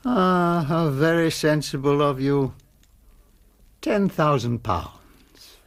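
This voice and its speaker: Slimy posh english blackmailer, mock polite, slow and really swoopy, radio play. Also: male voice, fairly high pitch, expressive. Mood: silky menace. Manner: oily, theatrical, falsely polite, deliberate. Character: silky, menacing older gentleman blackmailer, mock-courteous. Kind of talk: radio drama acting.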